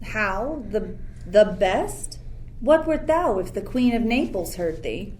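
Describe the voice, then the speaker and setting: A woman's voice: medium pitch, sing-song, slow pace, a little mellow. A woman playing a commanding, scornful sorceress mother in a Shakespearean radio play, speaking imperiously and theatrically. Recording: faint background noise.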